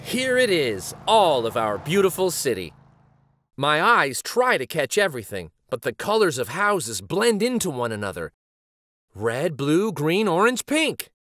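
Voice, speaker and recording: Male children's read-aloud host, bright voice, unhurried and dramatic with wide expressive swings. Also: on the high side, dry recording. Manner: animated, dramatic. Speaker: children's storybook reader and show host.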